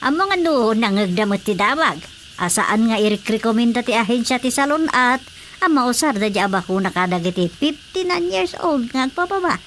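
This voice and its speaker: An actress playing an earnest, inquisitive young Filipino village daughter in a radio drama. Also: female voice, on the high side, lively intonation, normal speed, a bit bright, slight background noise. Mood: questioning. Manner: questioning, earnest.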